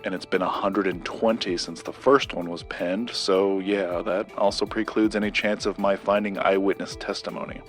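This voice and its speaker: An actor playing an earnest, grumbling, bookish collector with a conspiracy obsession, in naturalistic audio drama dialogue, dry and precise. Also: male voice, sits low, steady tone, normal speed, few pauses, soft background music, lightly acted. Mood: earnest, measured. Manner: dry, skeptical, precise wording.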